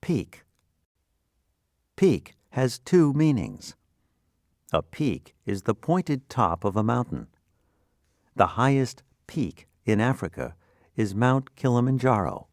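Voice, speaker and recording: A man reading scripted educational narration as a vocabulary teacher, with deliberate, instructional enunciation. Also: medium pitch, very animated, no room sound.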